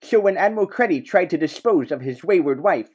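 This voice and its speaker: A man's voice: a little high, animated, fairly quick, a little mellow, breathy edge. A man playing a sarcastic, competitive detective's partner in an audio drama, with a teasing manner. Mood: needling. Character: snarky, competitive male detective sidekick.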